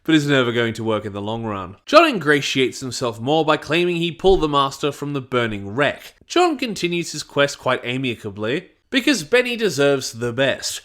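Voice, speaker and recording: A man's voice: medium pitch, big pitch swings, moderate pace, hardly any pauses, a bit bright. A man narrating a scripted review as the reviewer, with wry, jokey asides.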